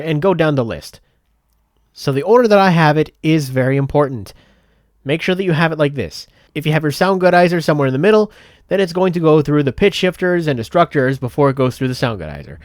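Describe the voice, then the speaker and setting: A man narrating a software tutorial step by step in a methodical, explanatory way. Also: a little high, no echo, studio quality. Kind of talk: step-by-step instructional voice-over.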